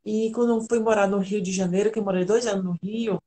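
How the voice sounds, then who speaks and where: A woman's voice: mid-range voice, steady tone, fast talker, dark tone. A woman from Argentina, an integrative therapist, telling her personal story earnestly and candidly as a guest on a live video call.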